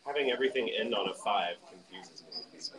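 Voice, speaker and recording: A man's voice: fairly low pitch, a little fast, crowd noise. A man hosting a session at a tech camp, chatting casually with attendees and laughing often. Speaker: session host running a live stream. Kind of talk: casual chat. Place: conference room at a tech camp.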